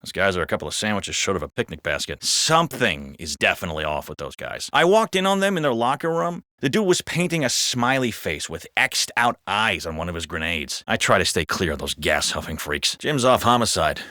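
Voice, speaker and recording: An actor playing a jaded, foul-mouthed, sardonic police officer in a video game. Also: male voice, low-pitched, big pitch swings, fairly quick, hardly any pauses, sharp tone, dry recording, studio quality, heavily acted. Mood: wry, gossipy. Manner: gossipy, sardonic, swearing. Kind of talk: scripted video game voice acting.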